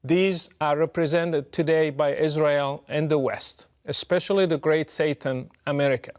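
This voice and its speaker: A man speaks English with a Moroccan Arabic accent in a fairly high-pitched voice. He delivers a prepared address earnestly, leaning on rhetorical questions and firm emphasis. His phrasing is measured and deliberate. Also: steady tone, darkish tone. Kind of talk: prepared political address to camera.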